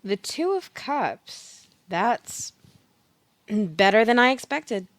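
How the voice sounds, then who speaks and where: A woman playing a knowing tarot reader in an audio play, speaking in a measured, deliberate manner. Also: fairly high pitch, very animated, dry recording. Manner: measured, pronouncing readings slowly.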